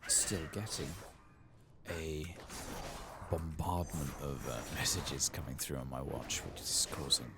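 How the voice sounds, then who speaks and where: A man doing soft-spoken ASMR game commentary, murmuring in a muted, unhurried way as he plays. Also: very deep voice. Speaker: gameplay streamer. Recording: clearly audible background noise.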